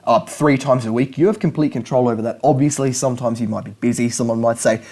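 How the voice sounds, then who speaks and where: A young man talks straight to camera in a relaxed, unscripted lesson. He rambles a little, doubling back on words and restarting phrases as he explains. His voice sits at an ordinary middle pitch for a man. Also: fast talker. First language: English. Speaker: language learning YouTuber.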